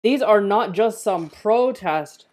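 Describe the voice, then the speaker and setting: A woman's voice: mid-range voice, lively intonation, slow, darkish tone. A woman acting a defiant, sarcastic police officer in a screenplay table read, with a blunt delivery. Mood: urgent, defiant. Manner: sardonic, blunt.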